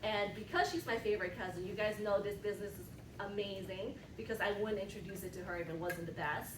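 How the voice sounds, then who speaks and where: Animated woman rallying a team in an echoey room. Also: medium pitch, fast talker. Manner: animated, often asks "right?". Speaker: team leader. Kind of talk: motivational talk to a team. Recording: echoey room from far off, noisy.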